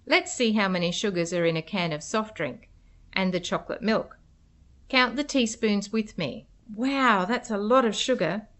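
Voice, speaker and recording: A woman's voice: mid-range voice, normal speed, fairly bright tone. Woman narrating for young children. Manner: simple, clearly instructive phrasing. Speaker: narrator of children's educational material. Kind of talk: scripted educational narration for preschoolers.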